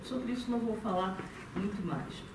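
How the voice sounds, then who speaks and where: A woman with a Brazilian Portuguese accent giving a thoughtful talk at a meeting, pausing often on fillers and restarting her phrases. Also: mid-range voice. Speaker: conference speaker. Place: meeting room. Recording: echoey room, far from the mic, noisy.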